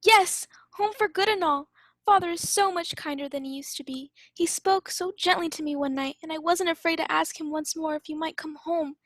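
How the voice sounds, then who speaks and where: A very high, girlish voice in a dry recording: a woman as a loving little sister in a radio play. The delivery is eager, excited and tender. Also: normal speed, dry recording. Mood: joyful, affectionate. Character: eager, affectionate little sister, a young girl.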